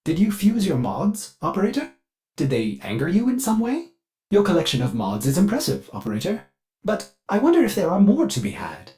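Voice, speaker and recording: A man's voice: high voice, wildly swooping pitch, a little fast, warm tone. A man voicing a fussy, servile ship computer AI in a video game, speaking in a chirpy, formal and theatrical way with an undercurrent of violent glitches. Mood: prim, curious. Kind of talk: video game character voice lines.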